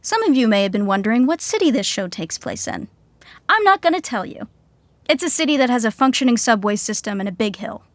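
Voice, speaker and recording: Wry, higher-voiced woman narrator. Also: sing-song, a little fast, no room sound. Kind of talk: wry scripted sitcom narration.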